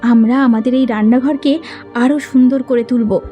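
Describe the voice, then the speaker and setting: A woman's voice: on the high side, steady tone, moderate pace, dark tone, soft background music, natural voice. Woman voicing a stern, indignant elder sister. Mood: earnest. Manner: indignant, scolding. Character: stern elder sister running a hill kitchen. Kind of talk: cartoon voice acting.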